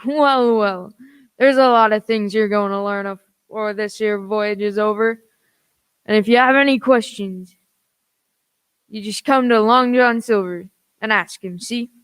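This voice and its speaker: A wily old pirate sea cook as acted in a radio play. The voice is clear and smooth, full of folksy, cajoling sailor dialect. It is recorded close and dry. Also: mid-range voice, unhurried, a bit bright, dry recording, close to an everyday voice. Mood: genial, folksy.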